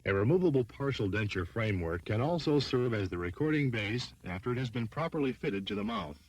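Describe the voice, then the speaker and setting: Male narrator for an instructional film: lowish voice, formal and measured scripted read. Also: expressive, a little mellow, rough recording.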